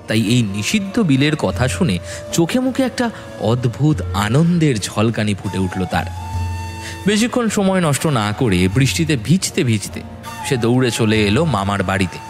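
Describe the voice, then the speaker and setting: A man narrating a ghost story as a scripted audio drama, in a dramatic storytelling style. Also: mid-range voice. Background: background music.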